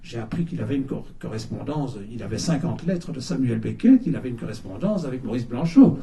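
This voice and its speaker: An older man giving a talk at a book launch in a bookshop, hesitant, repeating words and pausing with many fillers, recorded from a distance. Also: medium pitch, big pitch swings, gravelly, dark tone. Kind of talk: halting talk to a small audience. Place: bookshop, indoors. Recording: distant mic in a room.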